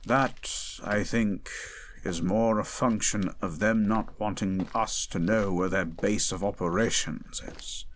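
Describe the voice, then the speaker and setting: Man voicing a slow, measured royal chancellor. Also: fairly low pitch, steady tone, a little hiss or hum. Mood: explanatory. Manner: formal, deliberate, precise wording. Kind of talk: fantasy audio roleplay drama.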